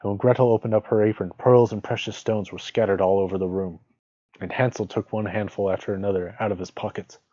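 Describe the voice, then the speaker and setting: A man with a relaxed, dark voice reads and chats like a storytelling streamer. The sound is dull and somewhat echoey. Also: on the low side, fast talker. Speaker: streamer reading fiction. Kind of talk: storybook narration and casual stream chat. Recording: dull lo-fi audio, roomy echo.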